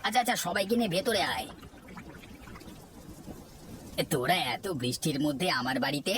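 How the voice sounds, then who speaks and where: A man's voice: fairly high pitch, animated, normal speed, background noise, close to an everyday voice. A man with a Bangladeshi accent playing a hospitable, reassuring bachelor friend in a cartoon, insistent and familiar in manner. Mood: welcoming. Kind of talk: Bengali cartoon story dialogue. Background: background music.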